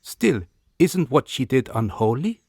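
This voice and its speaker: A man's voice: mid-range voice, big pitch swings, a little slow, a little mellow, breathy edge, dry recording, full character voice. A man playing a curious, gossipy servant in a radio drama, theatrical and inquisitive. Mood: musing.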